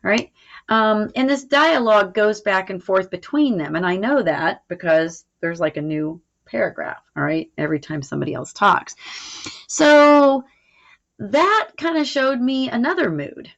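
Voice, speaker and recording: A woman's voice: medium pitch, animated, normal speed, gravelly, fairly bright tone. A woman teacher explaining a recorded lesson in an informal way, checking in as she goes. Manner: informal, explanatory, frequent "all right" check-ins. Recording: dull, lo-fi audio.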